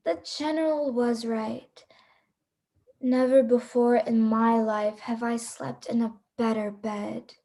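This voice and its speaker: Lady doing a hunted hunter in a radio play, slow mellow voice, grim n defiant. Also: on the high side, steady tone. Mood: calm triumph. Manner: terse, dramatic, deliberate. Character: hunted, defiant big-game hunter, Rainsford, grimly resolute.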